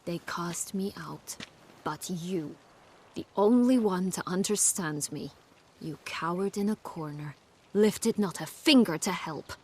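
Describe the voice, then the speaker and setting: A woman playing a proud, bitter exiled noblewoman in a video game, speaking in a deliberate, cutting, dramatic manner. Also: on the low side, a little mellow, breathy edge. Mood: resentful, hurt. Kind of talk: video game cutscene acting.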